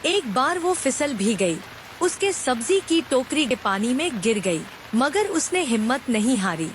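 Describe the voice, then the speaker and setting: Lively high-voiced woman storyteller. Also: measured pace, a little hiss or hum. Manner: expressive storytelling. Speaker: narrator. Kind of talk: scripted storybook narration.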